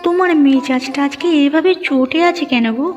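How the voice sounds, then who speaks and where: A woman's voice: sits high, fairly quick, a little mellow, long reverb tail, natural voice. A woman voicing a concerned village wife in a cartoon, plaintive and full of questions. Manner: plaintive, inquisitive. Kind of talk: cartoon dialogue acting. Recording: muffled narrow-band audio with music.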